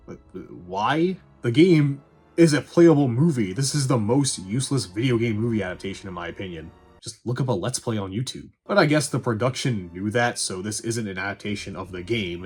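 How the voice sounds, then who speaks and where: Low-voiced man, wry and sarcastic. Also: a little mellow, slightly rough. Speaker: film reviewer and host. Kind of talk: casual opinionated movie review narration.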